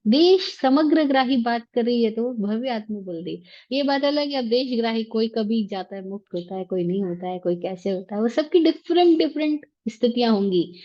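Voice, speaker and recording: A woman teaching a scripture class in a conversational way, asking rhetorical questions and dropping in English phrases. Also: mid-range voice. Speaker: Jain religious teacher. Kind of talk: lecture on scripture. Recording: slightly muffled, lo-fi.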